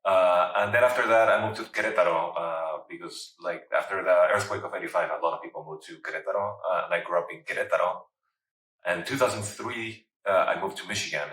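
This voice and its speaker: A man's voice: deep voice, fairly quick, fairly bright tone. A man in his thirties from Mexico, chatting casually in an interview with lots of fillers. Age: 30s. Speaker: photographer and writer. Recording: roomy, distant mic.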